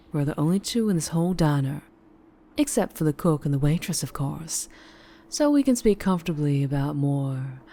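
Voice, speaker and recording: A woman playing a self-assured, flirtatious female vampire boss with a Southern US accent in an unhurried ASMR roleplay drama. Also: sits low, dark tone, slightly rough, exaggerated voice. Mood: teasing, sly. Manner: teasing, pet names, unhurried.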